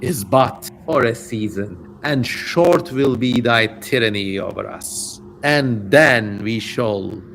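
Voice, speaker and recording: A man voicing a defiant, haughty male demon with slow, menacing, archaic speech in a dramatic reading, over some background noise. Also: mid-range voice, gravelly. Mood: haughty anger. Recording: noisy livestream audio.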